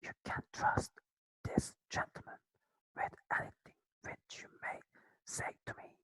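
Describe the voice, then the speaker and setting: A reader voicing a sharp, confident male detective in a slow, soft whisper. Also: dry recording, natural voice, poor audio. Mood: assured. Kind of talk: whispered ASMR storybook reading.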